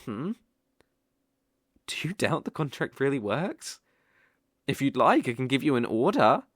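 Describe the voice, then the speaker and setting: A man's voice: mid-range voice, sing-song, no echo, studio quality. A British man playing a sly, possessive, lovestruck fox-spirit mage in an ASMR audio roleplay, coaxing and easily flustered. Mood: possessive, smug. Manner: coaxing, slow, with eager flustered outbursts.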